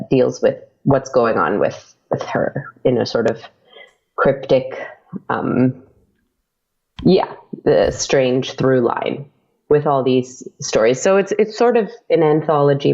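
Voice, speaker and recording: A woman's voice: rumbling low, a little slow, creaky, a little mellow, lo-fi sound. A woman speaking casually as an interview guest, thinking aloud in a hesitant way. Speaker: interview guest, writer and podcaster.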